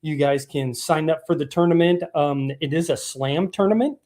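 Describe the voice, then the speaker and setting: Froggy-voiced fishing show host. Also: male voice, fairly high pitch, normal speed, darkish tone. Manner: rambling, with many ums and restarts. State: froggy voice from a lingering cough. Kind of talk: live stream show talk. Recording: live stream broadcast.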